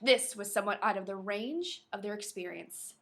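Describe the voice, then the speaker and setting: A woman's voice: medium pitch, expressive, normal speed, fairly bright tone. A woman co-hosting a podcast, playful, mixing casual banter with reading a novel aloud and correcting herself as she goes. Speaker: podcast co-host.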